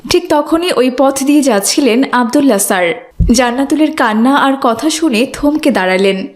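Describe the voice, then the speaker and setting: A woman's voice: high-pitched, lively intonation, moderate pace. A woman narrating a children's moral cartoon in a scripted storytelling style.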